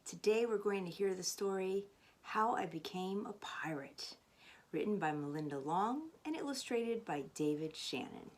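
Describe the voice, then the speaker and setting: A woman storyteller reading a children's picture book aloud with expressive, emphatic phrasing. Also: mid-range voice, normal speed.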